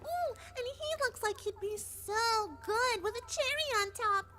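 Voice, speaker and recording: A woman voicing a bubbly, murderously cheerful young female pony in a cartoon fan audio drama, squeaky, chirpy and giddy. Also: expressive, measured pace, sharp tone, full character voice. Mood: perky. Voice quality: squeaky, girlish. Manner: giddy, chirpy, playfully menacing. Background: music.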